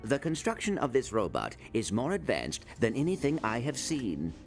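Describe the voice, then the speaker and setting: A man playing a polite, brainy little robot sidekick in an animated video game, speaking formally and precisely. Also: mid-range voice, normal speed, faint music behind. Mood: confident. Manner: formal, precise, without contractions.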